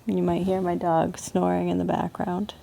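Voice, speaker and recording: A woman leading a guided meditation as a yoga teacher, speaking in a soothing, gentle manner with unhurried pauses. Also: lowish voice, completely flat delivery, vocal fry.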